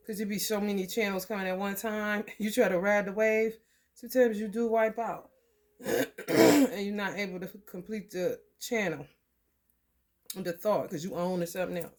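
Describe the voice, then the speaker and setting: A woman from the American Midwest giving a loose, profane tarot reading. Her throat is scratchy from allergies, and she keeps clearing it and laughing between thoughts. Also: medium pitch, fairly even intonation, normal speed, pauses often, darkish tone. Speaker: tarot reader. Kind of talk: casual spiritual reading to camera.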